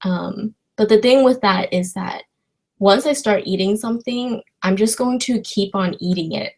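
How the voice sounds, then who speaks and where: A woman's voice: mid-range voice, normal speed, darkish tone. A young woman from China chatting informally as a livestream host, rambling and hesitant as she addresses her viewers. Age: young adult. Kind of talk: casual livestream chat answering viewer questions.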